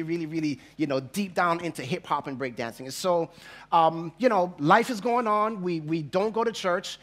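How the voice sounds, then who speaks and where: A Jamaican-born pastor in his forties, speaking with a faint Jamaican accent and a high, ringing voice. He tells his story from a church stage into a headset mic, animated and playful as he imitates the voices in it. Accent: slight Jamaican. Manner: animated, acts out quoted voices, laughs as he tells. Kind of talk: storytelling sermon. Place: large church hall stage with audience.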